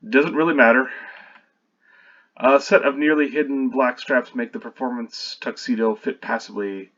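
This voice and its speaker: A man's voice: medium pitch, a bit bright, highs cut off. A man reading his own screenplay aloud while revising it, stopping often to correct himself and add wry asides.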